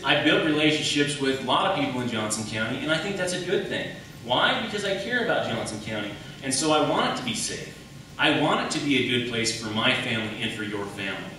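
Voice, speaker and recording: A man's voice: mid-range voice, pushed and bright. A man giving an emphatic political debate speech as a candidate, driving points home with repetition and rhetorical questions. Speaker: candidate for county attorney. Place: large echoey room at a public debate. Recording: echoey room, distant mic, faint noise.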